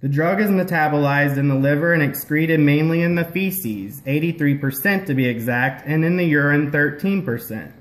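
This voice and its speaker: A man's voice: fairly high pitch, moderate pace, hardly any pauses, natural voice. Man playing instructive puppet clinician, echoey room. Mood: explanatory. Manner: explanatory, instructional. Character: patient, instructive male health-care puppet explaining medication. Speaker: health educator explaining a prescription. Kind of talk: scripted educational puppet-show dialogue. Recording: roomy echo.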